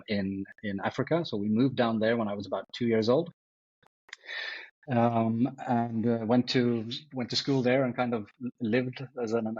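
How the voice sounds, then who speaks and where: A husky, breathy-voiced Norwegian man in his forties talks through his life story as a guest, halting and restarting his sentences. The recording is dull and lo-fi. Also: lowish voice. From Norway. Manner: hesitant, many restarts and fillers. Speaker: guest artist. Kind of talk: casual interview answers.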